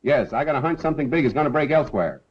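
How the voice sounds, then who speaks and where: A suave male sleuth in a 1930s film. His banter is wry and flippant, with very animated intonation at a medium pitch. The soundtrack is dull and old. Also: racing, husky. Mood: brisk. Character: suave, flippant amateur sleuth and newspaper columnist.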